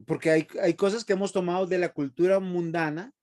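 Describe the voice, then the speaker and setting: A man's voice: high voice, fairly even intonation, fairly quick, darkish tone. A man teaching a Bible seminary class in a preachy way, often asking the students questions.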